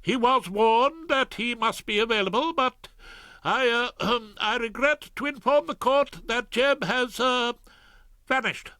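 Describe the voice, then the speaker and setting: A man voicing a flustered, apologetic male prosecutor who hesitates and clears his throat. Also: extremely high pitch, measured pace, sharp tone, no room sound, pitched above the performer's own voice, heavily acted.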